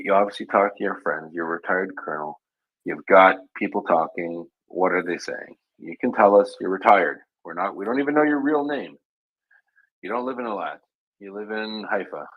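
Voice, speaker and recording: Male host in his thirties, very low and husky, dry close sound, joking manner. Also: normal speed, dry recording, dull audio. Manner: joking, teasing. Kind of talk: casual chat.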